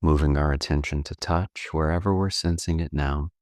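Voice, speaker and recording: Male meditation guide, extremely deep voice, dry studio-like sound, calm and gently instructive, taking his time between phrases. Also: no room sound. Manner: calm, unhurried, gently instructive with long pauses. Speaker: meditation coach.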